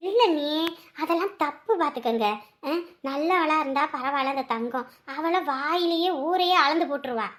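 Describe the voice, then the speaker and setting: A high-pitched, easily scandalised mother-in-law played by a woman in a family drama. She gossips and scolds in rustic turns of phrase with a rural Tamil Nadu accent, piling up indignant questions. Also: normal speed, fairly bright tone.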